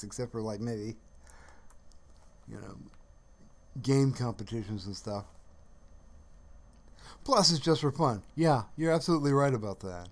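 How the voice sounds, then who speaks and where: A man chatting casually on a livestream in a halting, rambling way, often searching for words. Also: medium pitch. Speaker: live streamer.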